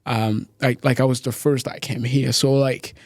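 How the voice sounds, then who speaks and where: A man's voice: medium pitch, level tone, darkish tone, no room sound. Young guy from tanzania on a podcast, lots of like and restarts, dry sound. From East Africa, born in Tanzania. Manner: halting, many restarts and "like", laughs easily. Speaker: podcast guest. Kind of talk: casual interview chat.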